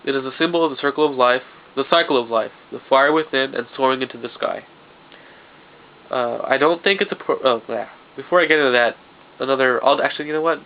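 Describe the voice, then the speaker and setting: A man's voice: mid-range voice, fast. A young man with a stuffed-up nose, talking loosely in a vlog and often restarting his sentences. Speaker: vlogger. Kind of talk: casual vlog monologue. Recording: dull lo-fi home video with faint hiss.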